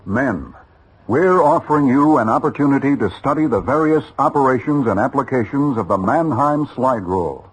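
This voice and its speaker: An American man with a husky voice delivering a persuasive scripted announcement on vintage radio. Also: mid-range voice, animated, moderate pace, low-quality recording. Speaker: announcer of armed forces education spot. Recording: vintage radio broadcast recording.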